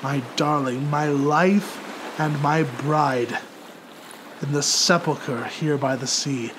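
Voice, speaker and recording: A man narrating poetry in a theatrical, solemn and measured dramatic recitation. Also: fairly high pitch, fairly even intonation, noisy background. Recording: loud music under the voice. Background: music.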